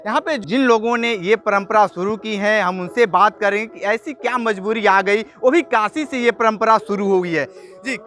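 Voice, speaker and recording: A man reporting on location, explaining in a halting, repetitive way and putting questions to locals. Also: high voice, a bit bright. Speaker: field news reporter. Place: outdoors in a neighbourhood with loudspeaker playing.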